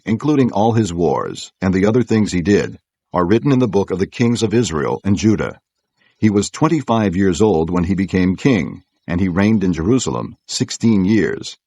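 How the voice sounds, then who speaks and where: A man with a deep, husky voice narrates scripture as solemn, measured storytelling. His intonation is richly varied. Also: a little mellow, dry recording.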